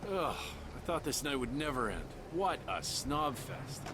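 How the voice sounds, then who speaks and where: A man's voice: medium pitch, sing-song, measured pace. An actor playing a grumbling, unimpressed man in a video game, speaking casually. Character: grumbling, unimpressed man fresh from a fancy party. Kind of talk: cinematic game dialogue.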